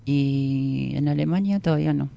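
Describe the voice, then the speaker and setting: A woman's voice: extremely low pitch, moderate pace, creaky, mellow. A woman with a Rioplatense accent, a musician guest chatting in slangy, rambling, self-deprecating fashion in a casual radio interview. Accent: Rioplatense Argentine Spanish.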